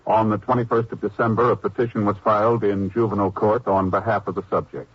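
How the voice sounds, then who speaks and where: A man with an American accent reading formal, measured scripted announcements in the style of 1950s radio. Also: on the low side, little pitch movement, breathy edge. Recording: dull old radio broadcast recording. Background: music.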